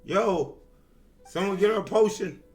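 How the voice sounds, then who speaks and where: A woman's voice: mid-range voice, lively intonation, a little slow, darkish tone. A woman streaming a game, reacting live in casual, slangy short remarks.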